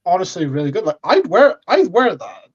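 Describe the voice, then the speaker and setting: A Scottish man chatting on a podcast, enthusiastic and chatty, quick to laugh. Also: high-pitched, sing-song, slightly rough. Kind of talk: casual podcast chat. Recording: dull lo-fi mic.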